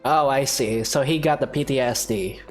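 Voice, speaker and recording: A woman's voice: a bass voice, normal speed, faint music behind. A woman doing casual gameplay commentary, speaking in a blunt, informal way as she plays along with the dialogue. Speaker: gaming streamer. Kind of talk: casual gameplay commentary, reading player lines aloud.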